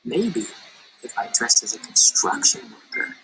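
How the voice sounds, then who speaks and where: Dreamy young wire-walker guy thinking out loud, woman reading him, music playing under. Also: extremely low pitch, big pitch swings, a little slow, full character voice, low-quality recording. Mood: musing.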